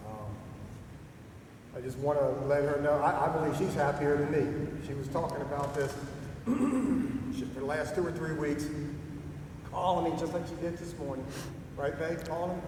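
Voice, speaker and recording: A middle-aged man giving a heartfelt, halting retirement speech, clearing his throat as he loses his voice. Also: medium pitch. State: losing his voice. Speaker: retiring army staff sergeant giving a speech. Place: ceremony venue. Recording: echoey distant hall recording with noise.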